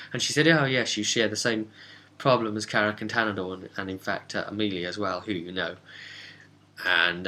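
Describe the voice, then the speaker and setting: A man with a bright, forward voice talks as a game master looking back over a tabletop session. His delivery is casual and chatty, wandering through the recap with an amused air. His pitch sits in an ordinary middle range for a man.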